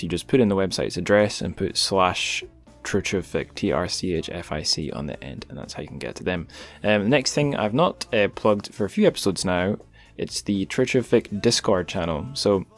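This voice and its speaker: A man hosting a podcast, talking casually and informally with a wry, self-deprecating touch. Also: low-pitched. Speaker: podcast host. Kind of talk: casual podcast talk with plugs.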